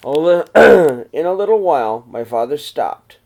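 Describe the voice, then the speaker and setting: Man reading a picture book, very lively intonation. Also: a little high, unhurried. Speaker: read-aloud storyteller for young children. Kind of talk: expressive picture book read-aloud.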